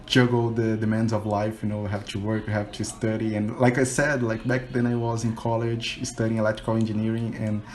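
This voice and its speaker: A man's voice: lowish voice, little pitch movement, a little fast, hardly any pauses. A man from Brazil with a Brazilian Portuguese accent, a Portuguese native speaker, chatting casually in English on a video call and often saying "you know". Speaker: English teacher and learner. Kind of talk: casual conversation practice call.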